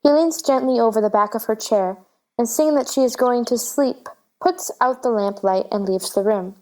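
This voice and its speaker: Woman narrator with a highish voice. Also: normal speed, darkish tone, poor audio. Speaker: narrator reading stage directions. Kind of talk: scripted narration.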